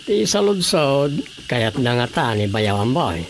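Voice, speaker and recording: An actress playing an inquisitive, gossiping village relative in a Filipino radio drama comedy, with an Ilocano accent. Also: female voice, very deep voice, sing-song, measured pace, a little hiss or hum, heavily acted. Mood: curious, gossipy.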